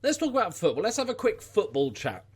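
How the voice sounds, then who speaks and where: Higher-pitched Scouse man chatting casually. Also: huge pitch swings, quick pace, husky. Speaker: YouTube channel host. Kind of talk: casual unscripted vlog chat.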